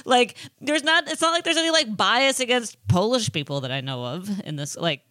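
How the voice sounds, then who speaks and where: A woman's voice: fairly high pitch, lively intonation, moderate pace, bright, forceful tone, no room sound, studio quality. A woman co-hosting a podcast in casual chat, hesitant, self-deprecating and giggly. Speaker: podcast co-host.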